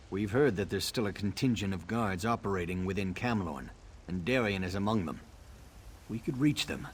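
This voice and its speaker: Fantasy game military commander, man acting earnest and firm, low voice, deliberate briefing, faint background noise. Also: lightly acted. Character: earnest male army commander, a worried father.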